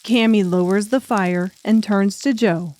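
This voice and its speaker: Woman narrating an audiobook, mid-pitched. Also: unhurried, darkish tone. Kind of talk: scripted narration.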